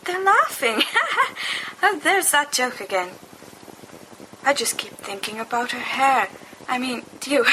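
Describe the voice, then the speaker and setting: A woman's voice: high voice, bright, forceful tone, rough recording. A woman reading fiction aloud as an amateur, expressively and playfully acting out the dialogue of giddy young men. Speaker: amateur podfic reader. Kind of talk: animated dramatized fiction reading with character voices. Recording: home recording with faint background noise.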